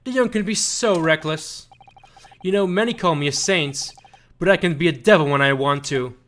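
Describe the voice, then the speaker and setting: A man's voice: fairly high pitch, moderate pace, a bit bright, close to an everyday voice. A man voicing a smug, menacing courtroom prosecutor in a video game, with a condescending, theatrical manner. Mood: smug menace.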